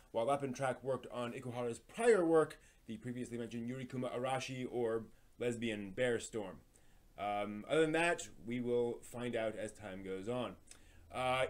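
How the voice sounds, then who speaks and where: A man hosting a live stream, reading entertainment news in an informal, somewhat halting way with casual asides. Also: medium pitch, a little mellow. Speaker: live stream host presenting anime news.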